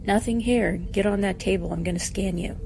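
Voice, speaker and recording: A woman playing a brisk, authoritative starship doctor in a science fiction audio drama, clipped and clinical in manner. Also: mid-range voice, vocal fry, very noisy, close to an everyday voice. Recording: music behind the dialogue.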